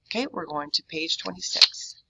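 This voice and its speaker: A woman reading a children's novel aloud in an expressive storybook narration style, on a dull, lo-fi recording. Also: fairly low pitch, normal speed, gravelly. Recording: dull, lo-fi sound.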